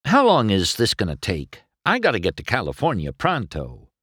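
A man's voice: on the low side, wildly swooping pitch, normal speed, sharp tone, no room sound, clean studio sound, pitched above the performer's own voice, heavily acted. Man playing a slick, cocky race car. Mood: impatient, evasive. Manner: smooth, breezy. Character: cocky young male race car, slick smooth talker.

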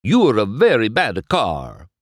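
A man voicing a small, excitable Italian import car in an audiobook, scolding indignantly. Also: fairly low pitch, slow, no echo, studio quality, voice pushed up, heavily acted.

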